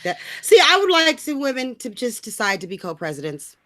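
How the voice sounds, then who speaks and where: A woman's voice: a little high, big pitch swings, fairly quick, bright, forceful tone, dry recording, clean studio sound. An American woman co-hosting a casual political chat, blunt, slangy and free with swearing. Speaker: podcast co-host.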